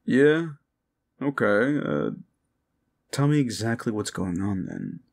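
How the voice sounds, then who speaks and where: A man's voice: lowish voice, wildly swooping pitch, slow, gravelly, a little mellow, heavily acted. A man playing an informal, puzzled, down-to-earth sidekick in a fantasy audio roleplay. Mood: curious, wary. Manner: informal, questioning.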